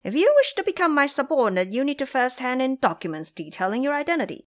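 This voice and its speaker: A woman's voice: high voice, big pitch swings, quick pace, voice pushed up. A woman voicing a proud, mocking male monkey king in an audio drama, commanding and teasing. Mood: haughty.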